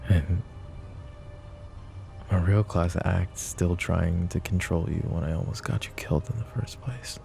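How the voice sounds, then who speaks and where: A man playing a possessive, overprotective mafia boss in an intimate roleplay audio drama, with a low gravelly voice and a measured, commanding manner. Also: dark tone, soft background music, full character voice. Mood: protective, agitated. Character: possessive, overprotective male mafia boss, curt but caring. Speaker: voice actor.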